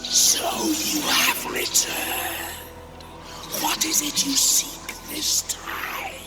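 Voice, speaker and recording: An actor playing an eerie otherworldly oracle spirit in a video game, with drawn-out, breathy whispering. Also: sits high, flat delivery. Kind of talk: video game cutscene acting. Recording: loud cinematic music behind.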